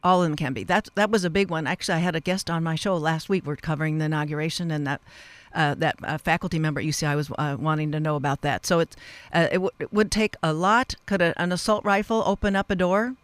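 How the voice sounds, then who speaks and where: A middle-aged woman hosting a radio interview, speaking in a halting, rambling way and often interrupting her own questions. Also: sits low, dry recording. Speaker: radio show host. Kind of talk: unscripted interview moderating. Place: radio studio.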